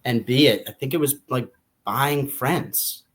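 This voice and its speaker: A man speaks as a podcast co-host in a casual book discussion. His delivery is informal and a little halting, and he often circles back to rephrase what he just said. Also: medium pitch, fairly bright tone.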